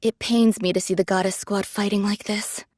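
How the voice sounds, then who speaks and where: A plain-spoken female android foot soldier , voiced by an actress, talks in a medium-pitched voice. She is candid and earnest, with reproach mixed into her admiration. The game drama recording is very dry. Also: flat delivery, moderate pace, darkish tone, no echo, natural voice. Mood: wry. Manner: frank, earnest, imploring.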